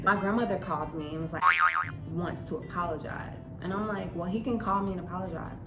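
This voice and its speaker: A woman's voice: on the low side, reverberant. A young adult woman vlogger telling a personal story in a casual, conversational way, with emphasis when she quotes exchanges.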